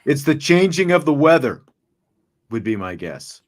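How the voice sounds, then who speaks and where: A middle-aged man hosting a casual sports talk show over a video call, joking and animated. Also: on the high side, moderate pace. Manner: joking, animated, gestures while talking. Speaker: show host. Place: home studio with framed pictures. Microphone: large studio mic with headphones, video call.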